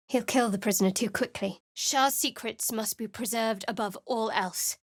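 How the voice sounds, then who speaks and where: A woman's voice: a little high, moderate pace, dry recording, studio quality. A woman voicing a guarded, secretive young female cleric in a video game, speaking in a cool, wry, clipped way. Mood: curt. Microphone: dry close studio mic.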